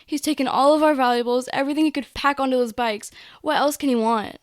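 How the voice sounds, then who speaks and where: A woman's voice: sits high, quick pace, no echo, studio quality, natural voice. A woman playing an anxious young hostage in radio-style audio drama dialogue. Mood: worried, urgent.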